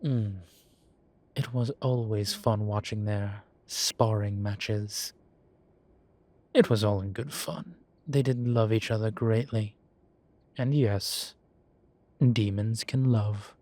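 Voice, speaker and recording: A man playing a composed, teasing demon professor in a roleplay audio, speaking in a formal, measured and wry way. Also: fairly low pitch, a little mellow. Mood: fond reminiscing. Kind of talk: scripted roleplay audio, one side of a conversation.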